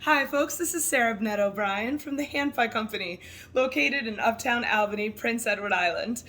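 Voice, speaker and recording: A woman with a somewhat high voice speaks as a bakery owner. She delivers a congratulatory message to camera. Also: a little fast, a bit bright.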